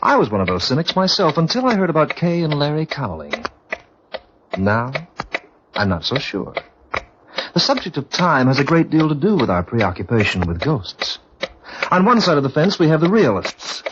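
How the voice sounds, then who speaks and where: A man's voice: mid-range voice, lightly acted. A man playing a wry, confiding storyteller, narrating a vintage radio mystery in a suave, theatrical manner. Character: wry, confiding male storyteller of ghost tales. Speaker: host and narrator. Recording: muffled, noisy old radio recording.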